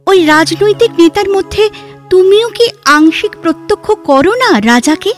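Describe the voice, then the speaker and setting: A woman playing an articulate, wistful, reflective woman in a literary audio drama, with measured, theatrical phrasing. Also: extremely high pitch, expressive, soft background music.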